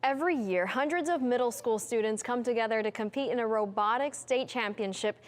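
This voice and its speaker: A woman's voice: on the high side, fairly quick. A woman with an American accent reading a scripted news introduction as an anchor.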